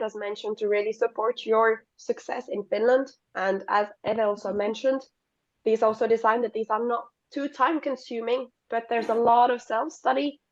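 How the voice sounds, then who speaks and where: A woman whose first language is French speaks through a hoarse, failing voice, soft and somewhat halting. The call audio is dry and dull, with a lo-fi edge and no room sound. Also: a little high, no echo. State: hoarse, losing her voice from illness. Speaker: program staff member. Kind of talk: webinar presentation. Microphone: video call.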